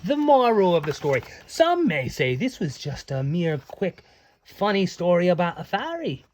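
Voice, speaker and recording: A man's voice: sits high, very animated, normal speed, a little hiss or hum. A man reading aloud to children as their dad, with playful, folksy, chatty asides.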